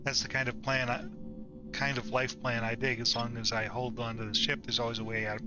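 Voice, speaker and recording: A man's voice: mid-range voice, flat delivery, brisk. A man hosting a gameplay video, commenting casually and reading in-game text aloud. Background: loud game music.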